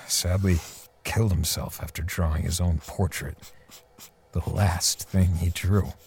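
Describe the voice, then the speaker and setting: A man playing a bitter, defensive portrait artist in an audio drama, speaking haltingly with sighs and pauses. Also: deep voice, fairly even intonation, darkish tone. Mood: weary, brooding. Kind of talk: audio drama dialogue and first-person narration.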